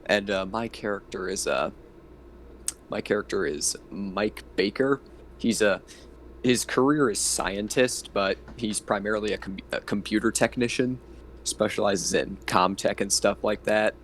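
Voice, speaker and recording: Male tabletop player, low-pitched, hesitant, casual, faint noise on the line. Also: unhurried, a bit bright. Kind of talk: casual self-introduction.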